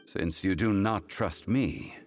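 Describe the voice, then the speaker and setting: A man voicing a calm, formal, ancient gentleman who speaks in measured, deliberate sentences in a video game. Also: low voice, soft background music. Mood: stern. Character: ancient, composed male consultant of contracts.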